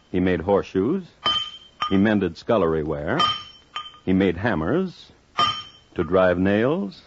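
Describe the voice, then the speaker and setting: A man hosting and narrating a scripted 1950s radio crime series in a measured, theatrical manner with dramatic pauses. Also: low-pitched, background noise. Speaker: host and narrator. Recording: muffled old 1950s radio recording.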